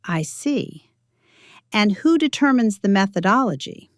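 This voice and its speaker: A woman narrator voicing a shrewd older woman who asks measured, formal, probing questions in a story. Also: mid-range voice, sing-song, no room sound, studio quality. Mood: coolly probing.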